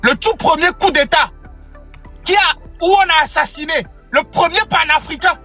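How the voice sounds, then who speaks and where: A man's voice: extremely high pitch, moderate pace, sharp tone, soft background music. A young man giving an impassioned political speech, repeating phrases for emphasis. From Togo. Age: young adult. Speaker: activist.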